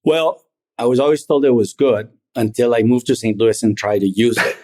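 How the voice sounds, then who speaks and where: A middle-aged man born in Argentina, a private equity founder telling his story in a measured, reflective way as an interview guest. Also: mid-range voice, a little mellow. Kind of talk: interview conversation.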